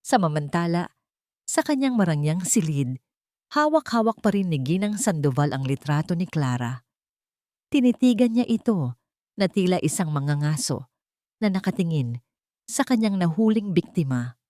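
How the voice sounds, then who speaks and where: A Filipino woman narrates a romantic drama in a slightly low voice. The sound is dry and close, with very little room in it. Her delivery is that of a scripted story told for listeners. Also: big pitch swings, normal speed, pauses often, mellow, no echo.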